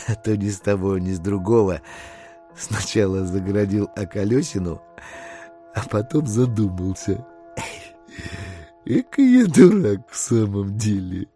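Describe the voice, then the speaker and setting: An actor playing a sly, self-amused gentleman schemer who muses aloud theatrically in an audio drama. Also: lowish voice, lively intonation, measured pace, pauses often, soft background music. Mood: self-chiding.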